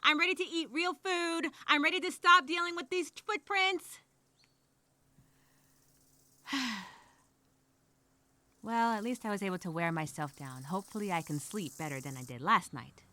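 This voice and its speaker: A woman's voice: a little high, expressive, bright, forceful tone, no echo. A woman voicing an anxious, wry castaway speaking her survival journal in a theatrical, conversational audio story. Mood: exasperated, weary. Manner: conversational, theatrical, halting, with sighs. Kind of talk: solo dramatic monologue, audio journal storytelling.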